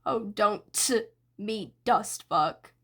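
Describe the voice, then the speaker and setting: A surly young villain man, voiced by a woman reading aloud, sneering and cursing at a slow, dragging pace. The voice stays light and bright in a fan audiobook. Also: mid-range voice, exaggerated voice.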